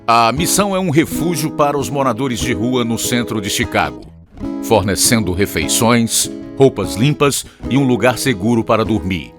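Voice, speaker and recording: A man with a Brazilian accent reading scripted narration as the announcer of a radio program. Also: mid-range voice. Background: guitar music.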